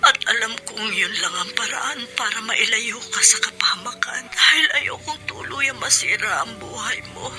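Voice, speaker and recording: A sorrowful Filipino mother pleads in a radio drama. She speaks very slowly and tearfully, in a piercingly bright voice. Music plays underneath. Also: fairly high pitch, heavily acted. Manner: slow, tearful, breathy pleading. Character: loving, sorrowful mother pleading with her grown son. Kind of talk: Tagalog radio drama acting. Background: background music.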